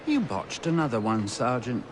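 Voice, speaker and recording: A man's voice: medium pitch, very animated, moderate pace, close to an everyday voice. An actor playing a weary, exasperated male Victorian police inspector in a video game, with noise behind him. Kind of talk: video game cutscene acting.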